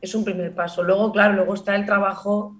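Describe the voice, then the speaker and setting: A woman's voice: mid-range voice, fast talker, soft background music. A woman with a Barcelona Spanish accent, born in Granada, chatting as a comedy writer and performer guest, telling stories with laughter and restarts. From born in Granada, raised in Barcelona. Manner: lively storyteller, laughs often, many restarts. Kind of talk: casual interview conversation.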